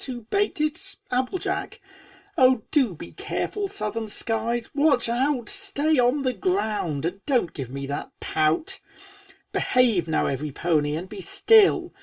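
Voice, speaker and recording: A woman's voice: on the high side, very animated, unhurried, gravelly, darkish tone, no room sound, distant mic, heavily acted. A woman playing a pony storyteller who tells a spooky storybook tale in verse to young foals, with dramatic, schoolteacherly delivery. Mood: scolding. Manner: dramatic, schoolteacherly, chiding asides. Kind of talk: theatrical storybook narration in verse.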